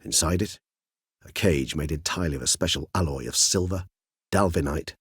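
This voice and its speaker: An actor playing a courteous, erudite old male vampire in a video game, speaking in eloquent, formal, measured phrases with wry philosophy. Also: a bass voice, slightly rough, no room sound, clean studio sound, exaggerated voice. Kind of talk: video game character dialogue.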